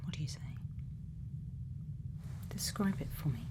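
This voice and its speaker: A woman doing a soft-spoken, often whispered ASMR roleplay as a caring scientist, speaking gently and without hurry in a soothing way. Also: a little slow. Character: sweet, caring scientist speaking to a robot friend. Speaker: ASMR performer playing a scientist. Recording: faint background noise.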